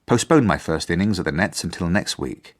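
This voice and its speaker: A man's voice: low-pitched, lively intonation, brisk. A man acting an earnest, fussy British headmaster in a radio drama, formal and self-important as he narrates his journal. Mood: conciliatory, rueful. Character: earnest, idealistic, fussy male school headmaster. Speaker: actor.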